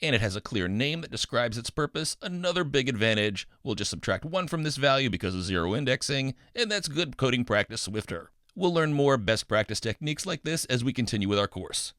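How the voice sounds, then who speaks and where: A man's voice: mid-range voice, big pitch swings, fairly quick, few pauses, a bit bright, dry recording, clean studio sound. A middle-aged man narrating a scripted programming tutorial as an instructor, with an upbeat, folksy manner and playful asides.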